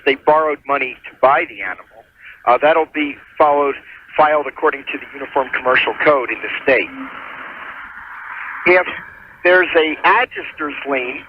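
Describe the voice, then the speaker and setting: A man's voice: on the high side, muffled recording. A man giving methodical, formal explanations as a legal adviser on a radio call-in show, over a telephone. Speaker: attorney calling in as legal adviser.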